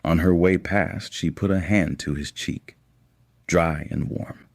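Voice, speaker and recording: A man narrating a scripted audio story in a measured, literary storytelling manner. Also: low voice, steady tone, vocal fry.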